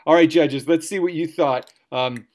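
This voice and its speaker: A man's voice: on the high side, normal speed. A man serves as MC of a virtual storytelling event, talking over a video call. He speaks off the cuff in long, playful sentences, with a mock-grand turn of phrase and a jokey touch. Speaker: host and MC of a story slam.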